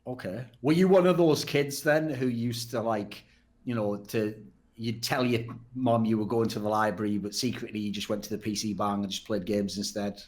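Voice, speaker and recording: A man's voice: on the low side, fairly even intonation, moderate pace, darkish tone. Blunt, sarcastic man hosting a podcast. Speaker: podcast host. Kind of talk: casual talk show hosting.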